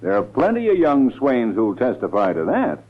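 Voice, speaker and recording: A tinny old radio recording of a calculating, ambitious man of politics. His mid-pitched voice is very animated, polished in the manner of 1940s radio drama. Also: moderate pace. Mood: falsely genial. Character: scheming, ambitious male district attorney, falsely affable.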